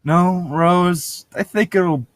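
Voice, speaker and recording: A man's voice: high voice, extremely slow, no room sound, clean studio sound. A man co-hosting a read-along, moving between wry, opinionated casual banter and reading a novel aloud, with some swearing. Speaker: co-host of a book read-along show.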